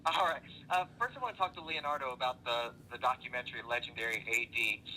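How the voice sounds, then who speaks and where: A man hosting a radio talk show, interviewing guests in a relaxed way, with plenty of "uh" and "um" between words. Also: mid-range voice, quick pace, slight background noise, poor audio. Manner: filler-heavy, friendly, conversational.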